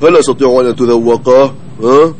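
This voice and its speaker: A man's voice: mid-range voice, quick pace, a little mellow, slight background noise, natural voice. A man voicing a big, goofy, cheerful and vain bird sidekick in a children's cartoon.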